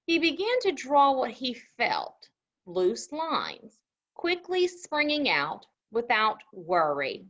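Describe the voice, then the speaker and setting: High, swooping woman reading children's stories. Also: big pitch swings, slow pace, a bit bright. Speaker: co-host of children's story show. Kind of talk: children's read-aloud with chat. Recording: muffled lo-fi audio.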